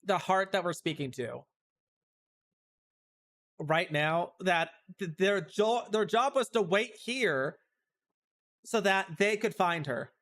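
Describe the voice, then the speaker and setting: A man's voice: sits high, expressive, slow, pauses often, a bit bright. A man giving livestream reaction commentary on a game, talking to himself and guessing aloud. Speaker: game streamer. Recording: music behind the voice.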